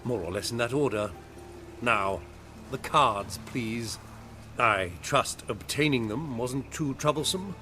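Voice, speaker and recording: An actor playing a smug, menacing crime boss in a video game, with mock courtesy and sardonic, formal phrasing. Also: male voice, medium pitch, slow, pushed and bright, faint music behind. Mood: smug menace. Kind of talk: video game villain acting.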